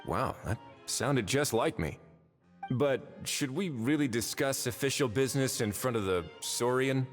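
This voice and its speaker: An actor playing a shrewd, relaxed prison warden in a video game, with a casual, dry, wry manner. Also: male voice, medium pitch, a little slow, lightly acted. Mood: wry, amused. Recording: hall-like reverb. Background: soft orchestral music.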